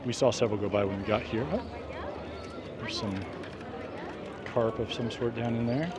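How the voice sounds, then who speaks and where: A man's voice: medium pitch, normal speed. A man giving casual, musing walking-tour commentary off the cuff while outdoors on town streets. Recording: loud outdoor street noise. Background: street ambience, people, vehicles.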